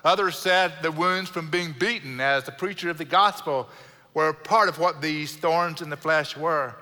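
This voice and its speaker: A middle-aged man with a South Houston Texan accent, preaching a sermon in an earnest way, slowing down to stress key words and adding light self-deprecating humor. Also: a little high, sharp tone. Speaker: pastor. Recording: long hall reverb from a church sanctuary.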